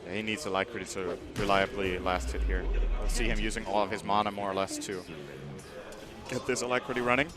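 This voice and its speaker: A man giving measured, analytical color commentary on an esports match. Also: fairly low pitch, steady tone, over music. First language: English. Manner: measured, explanatory.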